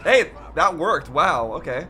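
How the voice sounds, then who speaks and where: A man's voice: a little high, animated, unhurried, pushed and bright, slight background noise. A man giving casual, running reaction commentary on video game cutscenes.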